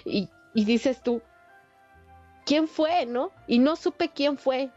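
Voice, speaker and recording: Mexican lady in her 40s, kinda high voice, telling her life story, muffled lofi audio w faint music. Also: slow, a little mellow. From State of Mexico, Mexico. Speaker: interview guest, online creator. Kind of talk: personal storytelling in casual conversation.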